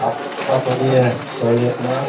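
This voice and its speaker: A man playing a solemn Roman soldier in a puppet show, reciting gravely and a little stiffly. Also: medium pitch, completely flat delivery, close to an everyday voice. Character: solemn Roman soldier who witnessed the crucifixion. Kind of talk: puppet show interview acting, scripted. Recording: echoey distant recording over noisy crowd.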